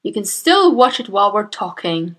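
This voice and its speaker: A woman's voice: medium pitch, sing-song, moderate pace, fairly bright tone. A woman voicing a brash, impatient middle-aged father who snaps and blusters. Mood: irritable. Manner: snappy, blustering.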